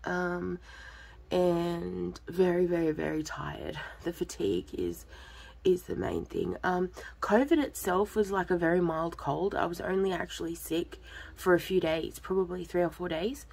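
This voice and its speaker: A woman's voice: lowish voice, a little slow, creaky, a little mellow. A woman, talking casually to her viewers, congested and coughing, her throat a bit off, rambling with lots of ums. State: lingering cough and congestion after illness. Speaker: vlogger, budgeting channel host.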